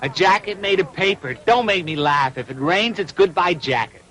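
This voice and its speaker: A man's voice: high-pitched, expressive, normal speed, a bit bright. A man voicing a mocking, dismissive young streetwise fellow in a dubbed cartoon, sarcastic and scornful.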